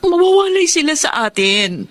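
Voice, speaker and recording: High-voiced, worried Filipino wife. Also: female voice, very animated, normal speed, a bit bright. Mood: longing. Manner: plaintive, emotional. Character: worried, longing wife and mother. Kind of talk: Filipino radio drama acting.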